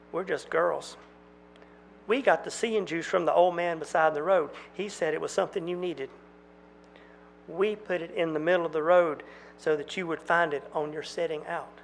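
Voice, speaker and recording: A man voicing twin country girls, speaking quietly and accusingly. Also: on the high side, gravelly, a little hiss or hum, poor audio. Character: eerie country girls, twin sisters, calm and reproachful.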